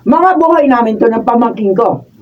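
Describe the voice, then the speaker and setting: A woman's voice: on the high side, lively intonation, moderate pace, slight background noise, lightly acted. A woman acting a defiant, defensive aunt in a radio drama. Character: defiant, defensive aunt guarding her niece's benefactor. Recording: distant, muffled raw studio video.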